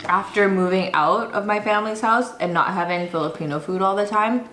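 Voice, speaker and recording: A young woman chatting with a friend over food, teasing him with quick banter. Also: medium pitch, fairly bright tone, a little hiss or hum. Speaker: guest friend. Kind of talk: casual chat over food. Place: her apartment kitchen, standing. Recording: echoey kitchen with music behind.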